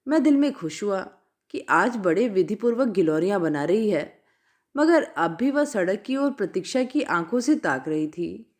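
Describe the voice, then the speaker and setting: An Indian-accented woman narrates a classic short story. Her voice sits fairly high and moves through a wide, animated range of intonation. She reads in an expressive, audiobook-like storytelling style.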